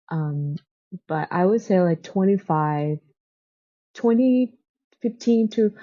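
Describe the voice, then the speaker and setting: A woman's voice: low-pitched, dark tone, lo-fi sound. A woman who speaks English as a second language, chatting casually and unscripted like a book vlogger, often hesitating and searching for words. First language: not English, speaks it as a second language.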